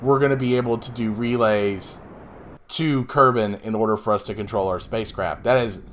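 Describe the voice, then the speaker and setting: A man in his thirties, a little hoarse today, casually explaining his moves while playing a space game, with rambling asides. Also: mid-range voice, fairly bright tone, slight background noise. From Northeastern US. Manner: rambling, explanatory, self-deprecating. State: slightly hoarse. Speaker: let's play host. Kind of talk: casual gameplay commentary.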